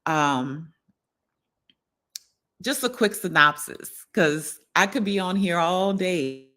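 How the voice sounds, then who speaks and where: Bright-voiced middle-aged woman. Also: lowish voice, expressive, slow pace. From New Orleans, United States. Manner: conversational, addresses viewers directly, often seeks agreement. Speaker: wellness livestream host. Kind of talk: casual livestream chat.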